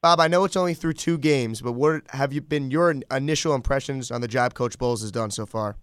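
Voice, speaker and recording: Man, sports radio host, smooth clean voice, medium pitch, dry close sound, interview delivery. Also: fairly quick, no echo.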